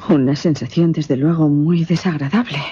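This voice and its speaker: A woman's voice: sits low, normal speed, dark tone, slightly rough. An actress playing an anxious, incredulous young lady questioning a witness in a radio play, breathless and dramatic. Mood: confused, incredulous. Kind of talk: suspense radio theatre acting. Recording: dull, muffled radio recording.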